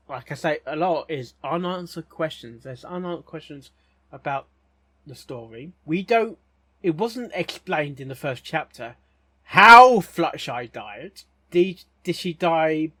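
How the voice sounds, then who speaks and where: Higher-voiced man rambling out a reaction. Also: a bit bright, no echo. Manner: halting, repeats words and phrases. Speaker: reaction video host. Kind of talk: rambling casual reaction commentary.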